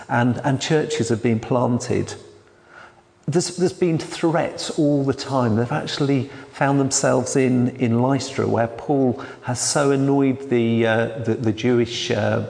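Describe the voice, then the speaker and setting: A man, a church elder giving a sermon in a quiet, hesitant way with many restarts. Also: mid-range voice, vocal fry. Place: church hall. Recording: long hall reverb.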